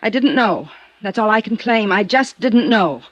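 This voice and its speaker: An actress playing a lonely, troubled wealthy young woman in a 1950s radio drama, wistful and evasive, sharp when pressed. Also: medium pitch, lively intonation, normal speed, dry recording, natural voice. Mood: pained. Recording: muffled old radio broadcast.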